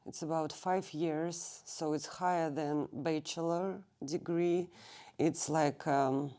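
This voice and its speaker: A woman in her thirties from Russia, a native Russian speaker, telling her life story in an interview at a library and pausing to search for English words. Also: sits low, fairly even intonation, warm tone. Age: 30s. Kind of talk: recorded oral history interview. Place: public library.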